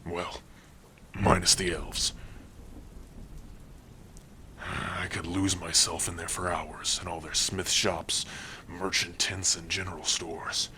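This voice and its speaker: A man plays a low-voiced male orc, gruff yet tender, in an ASMR roleplay. He speaks softly and hesitantly in an even, unhurried line with a forward, bright edge, over some background hiss. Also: full character voice. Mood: wistful, enthusiastic. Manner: soft-spoken, hesitant, with pauses and hums. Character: gruff but tender young male orc, shyly smitten. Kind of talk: ASMR roleplay addressed to the listener.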